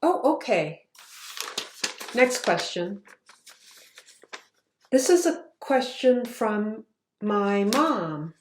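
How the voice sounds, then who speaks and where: A woman author reading her children's book aloud in slow, expressive storytelling. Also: medium pitch, a little hiss or hum. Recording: roomy echo.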